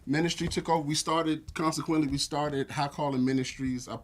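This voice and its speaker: An American man in his forties, a church elder, telling relaxed stories with lots of fillers in a casual interview. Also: a little high, brisk. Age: 40s. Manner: relaxed storytelling with frequent fillers.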